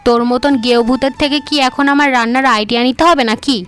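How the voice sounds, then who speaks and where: A woman's voice: high-pitched, fairly even intonation, fast talker, fairly bright tone. A woman voicing a vain, scheming young wife in a cartoon folk tale, who talks in a boastful, mocking way. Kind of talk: animated folk tale voice acting.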